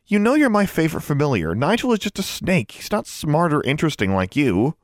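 Man putting on a high-pitched, bossy schoolgirl. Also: very animated, normal speed, no room sound, studio quality. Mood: pleading. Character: bold, scolding, worried schoolgirl with a pet raven.

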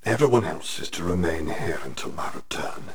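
A man voicing a menacing masked male headmaster who speaks slowly and coldly. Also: low voice, expressive.

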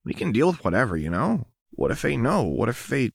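A man voicing a fragile, volatile young woman in an audiobook, halting and shaky, repeating herself in distress. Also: mid-range voice, big pitch swings, creaky, breathy edge, no room sound, clean studio sound, pitched above the performer's own voice, full character voice. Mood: anxious, pleading.

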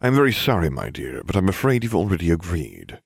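A man's voice: deep voice, huge pitch swings, brisk, creaky, slightly rough, no room sound, clean studio sound, heavily acted. A man doing a twinkly old headmaster wizard. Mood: breezy. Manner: cheerful, genteel and unflappable.

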